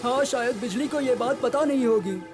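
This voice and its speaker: A man's voice: very high voice, moderate pace, loud music behind the voice. A man voicing a fat, bumbling, talkative cartoon henchman, eager, naive and a little whiny. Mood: agreeable. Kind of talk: Hindi-dubbed cartoon comic sidekick acting.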